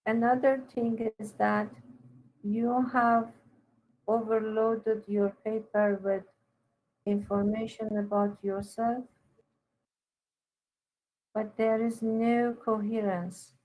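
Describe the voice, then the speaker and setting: A woman teacher giving halting, corrective and instructive one-to-one feedback on a student's writing. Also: fairly high pitch, level tone, a little mellow, rough recording.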